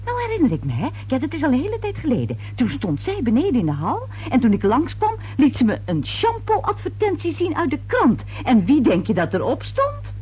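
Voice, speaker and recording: An actress playing a nosy, self-important middle-aged neighbour in a Dutch radio play, chatty, confiding and full of insinuation. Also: female voice, high-pitched, huge pitch swings, brisk, hardly any pauses, warm tone. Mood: prying, scandalised. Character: gossipy, twice-married older neighbour woman, nosy and self-important.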